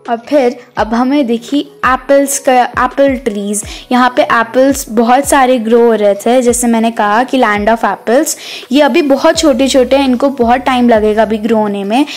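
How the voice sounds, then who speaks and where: A woman giving a chatty, casual vlog voice-over, mixing in English words. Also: a little high. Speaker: vlog narrator. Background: background music.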